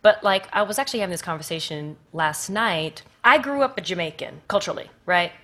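A woman's voice: on the low side, a little fast, bright, forceful tone. A woman with an American accent, speaking directly and passionately as a panel guest. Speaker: panel guest, stage performer. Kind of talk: casual panel conversation.

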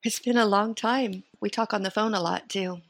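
A woman's voice: mid-range voice, fairly even intonation, moderate pace, gravelly, no echo. A middle-aged American woman chatting as an interview guest, talking freely and thinking aloud with fillers. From United States and Sweden. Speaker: interview guest, company founder. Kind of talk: casual conversational interview.

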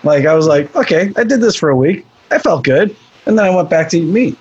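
A man's voice: on the high side, lively intonation, quick pace, a little mellow, breathy edge, muffled recording. A man from Ireland in casual podcast chat, offering thoughtful, tentative suggestions.

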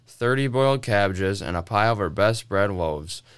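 A man narrating a folktale in a radio drama with an expressive, folksy storytelling manner. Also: fairly low pitch, normal speed, slight background noise.

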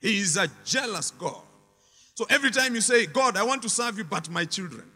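Male preacher, high voice, bright tone, emphatic repetition, reverberant church hall. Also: animated, a little fast. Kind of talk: sermon.

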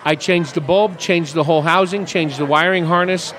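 A man's voice: a little high, fairly even intonation, moderate pace, fairly bright tone. Joking man in his fifties hosting. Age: 50s. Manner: jokey, rambling storyteller. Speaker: podcast host. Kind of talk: casual chat. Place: café.

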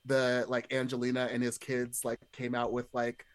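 A man's voice: mid-range voice, monotone, normal speed, smooth, no echo. A man in casual podcast conversation, chatty and hesitant, padding his thoughts with lots of filler words. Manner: chatty, hesitant, many "like" hedges. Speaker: podcast panelist.

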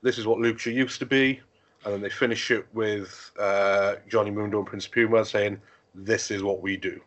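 A man's voice: on the low side, steady tone, normal speed, a bit bright. A man co-hosting a casual podcast in an easygoing way, steering the chat and wrapping it up. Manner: easygoing, steers the show with wrap-ups and sign-offs. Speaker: podcast co-host. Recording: dull lo-fi podcast audio.

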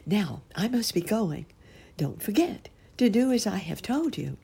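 Lady doing a gentle motherly butterfly, whispery, kind, slow and sing-song. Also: mid-range voice, very animated, creaky, husky, pitched above the performer's own voice, full character voice. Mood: instructing.

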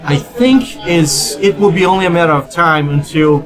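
A man's voice: a little high, animated, moderate pace, over music. Brazilian-accented man, upbeat guest. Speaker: developer advocate guest. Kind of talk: casual panel chat.

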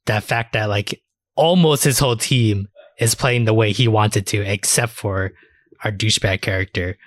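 A man's voice: on the low side, normal speed, dry recording. A man hosting a casual anime discussion, talking in a rambling, slangy way with casual swearing. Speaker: podcast host.

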